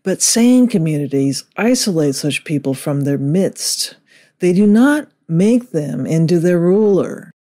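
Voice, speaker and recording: A man reading a scripted political commentary in a measured, indignant way. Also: fairly high pitch, animated, dark tone.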